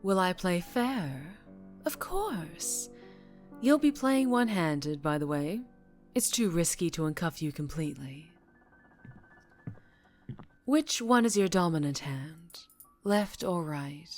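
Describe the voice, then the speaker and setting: A woman plays a dangerously charming female mafia boss in an ASMR audio drama. Her lowish voice moves very slowly, teasing and softly threatening, with phrases dropping toward a whisper. Faint music sits behind her. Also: expressive. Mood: playful, coaxing. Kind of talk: ASMR roleplay acting.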